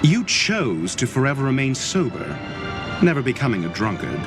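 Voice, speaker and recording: An actor playing a stern, scornful professor who talks in cryptic riddles, in a game scene with music behind him. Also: male voice, medium pitch, very animated, normal speed. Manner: cryptic, lecturing, contemptuous. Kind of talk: game voice acting. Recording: game dialogue over music.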